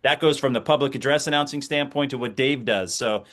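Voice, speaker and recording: A man's voice: mid-range voice, a little fast, fairly bright tone. A middle-aged American man, a sports announcer, speaking conversationally as an interview guest and reflecting through anecdotes.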